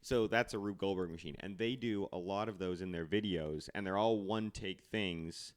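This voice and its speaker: A man's voice: low-pitched, normal speed, clean tone. A man with a Canadian accent co-hosting a podcast in a casual, teasing, joking way. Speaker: podcast co-host.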